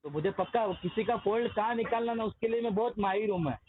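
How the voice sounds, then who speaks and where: A man's voice: high-pitched, fast talker. A man arguing over the phone in a boastful, assertive, challenging way. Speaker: activist confronting a loan agent. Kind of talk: argumentative phone conversation. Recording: narrowband phone call, faint noise.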